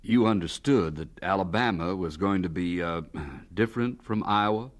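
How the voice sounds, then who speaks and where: An actor playing a sober interviewer in a radio drama, putting measured questions. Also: male voice, low-pitched, close to an everyday voice. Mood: measured, probing. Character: sober male interviewer questioning an old professor.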